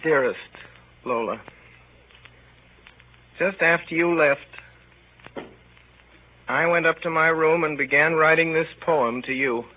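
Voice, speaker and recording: A man's voice: fairly high pitch, slow pace, long pauses, narrow, tinny audio. An actor playing a lovesick, irritable teenage boy in a melodramatic, declaiming 1930s radio style. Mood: lovesick, yearning. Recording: thin, band-limited 1930s radio recording.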